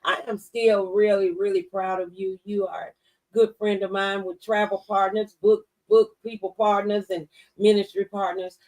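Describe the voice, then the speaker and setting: A woman's voice: mid-range voice, flat delivery, normal speed, darkish tone, low-quality recording. A woman hosting a casual talk show, encouraging her guest with affirmations and repeating things back, recorded a little away from the mic.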